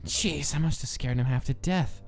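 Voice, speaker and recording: A woman's voice: low-pitched, normal speed, creaky, darkish tone. A woman voicing a sarcastic young skeleton boy in a comic dub, casual and wry, protective of his brother. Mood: worried, reassuring. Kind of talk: dramatic comic dub acting.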